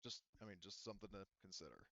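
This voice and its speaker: A man's voice: low-pitched, expressive, fast talker, vocal fry, pushed and bright, no echo. A man acting as game master, talking casually through tabletop game options in rambling, hedging explanations, over a slightly dull voice-chat connection. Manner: rambling, hedging explanations full of "like" and "you know".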